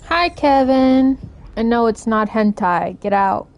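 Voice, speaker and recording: A woman's voice: fairly high pitch, lively intonation, takes it slowly, faint music behind. A woman game streamer chatting casually on a live stream.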